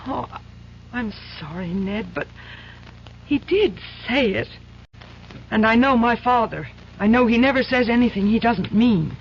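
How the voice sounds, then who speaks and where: Firm girlfriend, high voice, very expressive pitch, old radio play, reproachful. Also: measured pace, long pauses. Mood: soft, resolute. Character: cool, pragmatic girlfriend, daughter of a powerful man. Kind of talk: old-time radio drama acting. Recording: dull, faintly noisy old radio recording.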